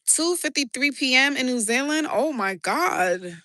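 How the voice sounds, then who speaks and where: A woman with a New York accent, chatting casually and excitably on a live stream from a city rooftop, talking into her phone. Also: sits high, unhurried, dry recording, studio quality. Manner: chatty, swearing, rambling and excitable. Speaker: livestreamer. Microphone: handheld phone.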